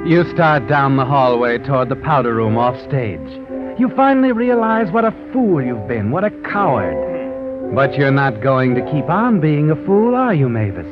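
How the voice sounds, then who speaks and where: Animated, sardonic suspense narrator played by a man. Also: medium pitch, normal speed, close to an everyday voice. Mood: taunting suspense. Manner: dramatic second-person taunting. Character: sardonic, needling male narrator of crime tales. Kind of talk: 1940s radio suspense narration. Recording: muffled old radio recording over music.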